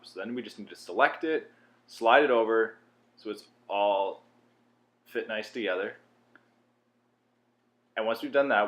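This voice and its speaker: A man with a low voice presents a software tutorial. He explains each step in an informal way, as if guiding a beginner through it.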